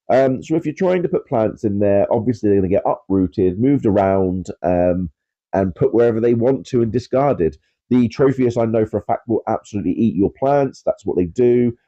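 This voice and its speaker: A man's voice: medium pitch, mellow, lo-fi sound. Stuffy-nosed man chatting about fish. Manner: rambling, filler-heavy, self-deprecating about pronunciation. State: congested with a cold. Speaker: aquarium channel host. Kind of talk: casual chatty video talk.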